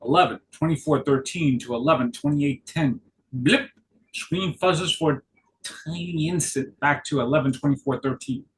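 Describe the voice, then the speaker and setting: A man narrating stage directions at a script table read, halting and correcting himself as he goes. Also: on the high side, poor audio. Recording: clear background noise.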